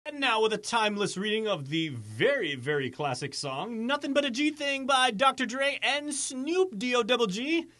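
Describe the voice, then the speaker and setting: A man's voice: very high-pitched, sing-song, normal speed, hardly any pauses, sharp tone. A man presenting in a mock-formal, grand scripted announcing manner. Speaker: presenter introducing readings.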